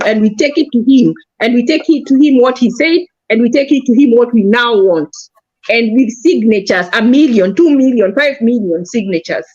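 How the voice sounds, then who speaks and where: A woman's voice: on the high side, a little mellow, breathy edge, dull audio. A Kenyan woman with a Kenyan accent, talking animatedly about politics and switching between Swahili and English. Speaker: panelist. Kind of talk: political discussion.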